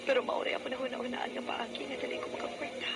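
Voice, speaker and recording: A very noisy radio drama recording carries a young Cebuano single mother. Her voice is high, earnest and emotive, that of a struggling but devoted woman. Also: flat delivery, racing, creaky. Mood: weary, resigned. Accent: Cebuano Filipino.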